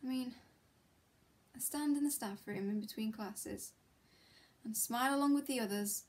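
Actress as frustrated, miserable female teacher, higher register, intimate and intense dramatic monologue, halting delivery. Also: a little mellow, lightly acted. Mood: weary, despairing. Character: disillusioned, miserable woman schoolteacher, passionate and frustrated. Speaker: actor performing a monologue.

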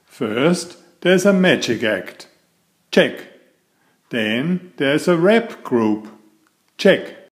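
A man reading a picture book aloud to young children in an expressive, deliberate storytelling manner. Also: on the high side. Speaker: picture-book reader and author of children's stories.

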